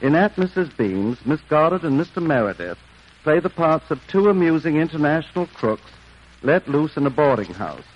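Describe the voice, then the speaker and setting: A man's voice: on the high side, sing-song, moderate pace, highs cut off. A man hosting a 1940s radio program, previewing next week's play with a genteel, lightly witty delivery. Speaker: program host.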